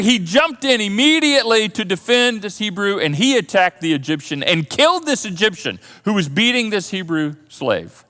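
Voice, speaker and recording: A man preaching a sermon as pastor, telling a story in an animated way and often repeating words as he thinks aloud. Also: sits high. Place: church auditorium. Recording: long church hall reverb.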